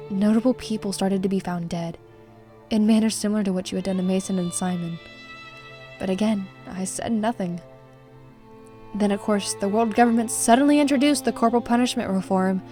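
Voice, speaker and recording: A woman playing a troubled young woman who narrates a visual novel in a somber, measured way. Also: medium pitch, dark tone, close to an everyday voice. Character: troubled, reflective young woman recounting dark memories.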